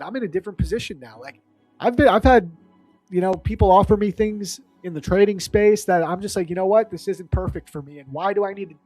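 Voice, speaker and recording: A man's voice: sits high, darkish tone, dry recording, rough recording. A man of thirty-one in casual chat as a stream co-host, informal and agreeable with easy slang. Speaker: live trading stream co-host.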